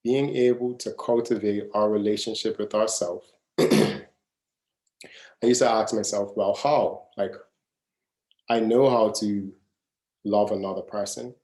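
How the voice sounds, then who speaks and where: A man's voice: fairly low pitch, steady tone, a little slow, pauses often, a little mellow. Earnest mid-thirties man, echoey room. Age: 30s. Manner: earnest, casual, repeats phrases to stress them. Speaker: wellness coach. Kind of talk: live masterclass talk.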